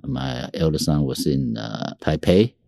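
An older Taiwanese man speaks with a very deep, low voice in a dry, close recording. His English carries a Taiwanese accent from his native Mandarin and Taiwanese. He talks casually and haltingly, like an executive sharing anecdotes in an interview. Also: gravelly, dark tone, dry recording. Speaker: company CEO, interview guest.

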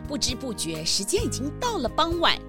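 A woman with a Taiwanese accent narrating a children's audio story in an expressive storytelling manner. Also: sits high, fairly quick, over music.

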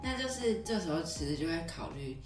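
A woman with a low voice talks as a podcast host, explaining in a conversational, looping way. She sounds slightly distant in a roomy space, with music underneath. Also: very animated, fairly quick.

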